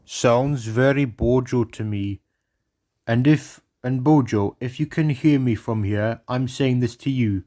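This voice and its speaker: A man's voice: mid-range voice, a little slow, dark tone. A man from Britain narrating his own fan cartoon, rambling and reeling off film facts. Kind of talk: casual narration and commentary.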